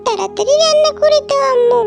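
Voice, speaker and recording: A little cartoon fox child with an extremely high, piping voice. The child is sweet, eager and coaxing. Children's music plays clearly behind. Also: female voice, fast talker. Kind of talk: cartoon voice acting.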